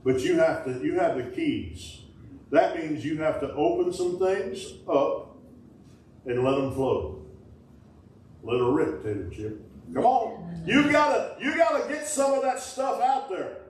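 Folksy pastor preaching in an echoey church. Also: male voice, fairly high pitch, animated, a little slow, far from the mic, slight background noise. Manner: folksy, call-and-response preaching with sudden loud bursts. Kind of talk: sermon. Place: small wooden-walled church sanctuary.

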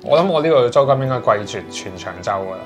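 A Hong Kong Cantonese man in his thirties, interviewed in his flat by the sea. His voice sits in a middle pitch, and he hedges and restarts as he thinks aloud. Light music plays underneath. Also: quick pace. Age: 30s. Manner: hesitant, many fillers and restarts. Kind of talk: casual interview chat. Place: indoors in a sea-view flat.

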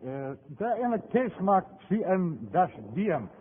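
A man's voice: high voice, very animated, a little slow, husky, narrow, tinny audio, exaggerated voice. A man acting a curt criminal accomplice in a 1950s radio drama. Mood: wary. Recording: thin, reverberant 1950 radio recording.

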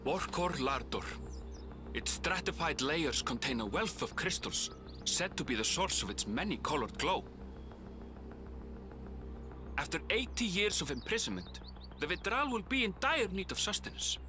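Thoughtful high-voiced game scout, very expressive. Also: male voice, normal speed, music in the background. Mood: explanatory. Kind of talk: video game cutscene acting.

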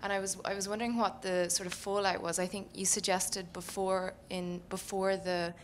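A woman in the audience asking a halting question at a panel discussion, with many restarts. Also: mid-range voice, little pitch movement, slight background noise. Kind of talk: question from the floor.

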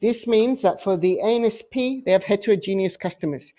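A woman professor talks through slides in an academic lecture. Her voice is mellow and relaxed, at a medium pitch. She explains step by step, often restarting and rephrasing as she goes. The recording is a little dull and lo-fi. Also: a little fast. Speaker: professor giving a research lecture. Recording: dull, muffled lo-fi audio.

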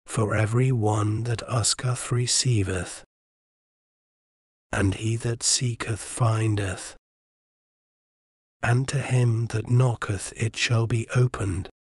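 A man reading scripture aloud in a measured, soothing bedtime manner, with long pauses between phrases. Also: lowish voice, fairly even intonation, no room sound, studio quality. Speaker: scripture reader. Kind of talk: slow bedtime Bible reading.

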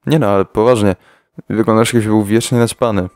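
A man voicing a weary, sarcastic teenage boy fighter in a comedy fan dub, talking deadpan. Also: on the low side, a little fast, clear, resonant tone, lightly acted. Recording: soft music underneath.

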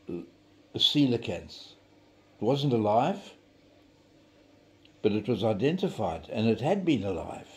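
An older man, giving an informal devotional in a deliberate storytelling manner with dramatic emphasis. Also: mid-range voice, darkish tone. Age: 70s. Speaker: devotional preacher. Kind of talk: informal sermon with storytelling and scripture reading.

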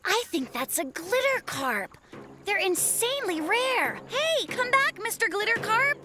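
A woman's voice: very high-pitched, big pitch swings, measured pace, heavily acted. A woman voicing an earnest, slightly fussy young polar bear boy in a children's cartoon, precise in manner. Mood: curious, pleased.

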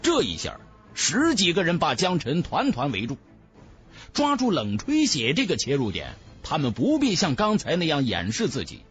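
A man's voice: a little high, wildly swooping pitch, moderate pace, soft background music. A man narrating a fantasy audiobook in a theatrical, emphatic way.

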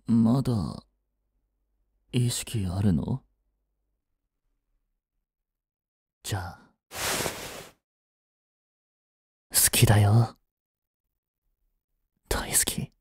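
Slow, mellow possessive young boyfriend, acted by a man. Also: on the low side, creaky, slightly rough, no echo, studio quality, exaggerated voice. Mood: tender, whispering. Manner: soft, coaxing, controlling, intimate. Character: jealous, possessive yandere boyfriend, sweetly menacing. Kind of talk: Japanese romantic situation voice drama.

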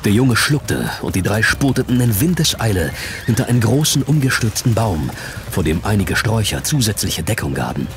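A man narrating a fantasy audiobook dramatically, with expressive, suspenseful storytelling. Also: lowish voice, normal speed, background noise.

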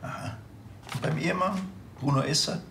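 An actor playing a grumpy, sarcastic village policeman with a Bavarian accent and gruff deadpan delivery in a TV drama. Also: on the high side, normal speed, background noise. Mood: dry.

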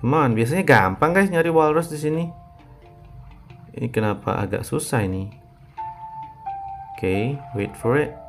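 A man's voice: medium pitch, lively intonation, moderate pace, long pauses. A man with an Indonesian accent gives casual gameplay commentary, chatting informally to his viewers. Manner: informal, addresses viewers as "guys". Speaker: gaming video creator. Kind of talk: casual gameplay commentary, mixing two languages. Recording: voice over background game music. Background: music.

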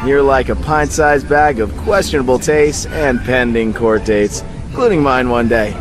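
A man's voice: medium pitch, normal speed, natural voice. A man voicing a smug, teasing cartoon trickster and showman in a comic dub, sly and showy. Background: music.

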